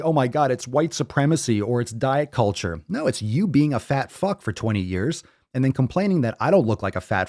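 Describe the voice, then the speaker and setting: A man's voice: medium pitch, a little mellow, no echo, clean studio sound. Blunt, profane man in his thirties. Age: 30s. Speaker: fitness podcast host. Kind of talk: ranting livestream talk.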